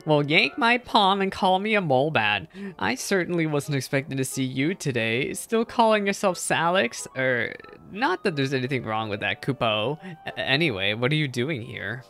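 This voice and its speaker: A man voicing a chummy, blustering little moogle creature in a fantasy game, with an excitable, folksy, exclamatory manner. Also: fairly high pitch, moderate pace, soft background music. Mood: excitable chattering.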